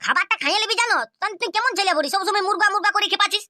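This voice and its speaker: A woman voicing a bossy, hot-tempered village elder brother in a Purulia Bengali accent, scolding and quick. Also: very high voice, bright, forceful tone, no room sound, studio quality, exaggerated voice.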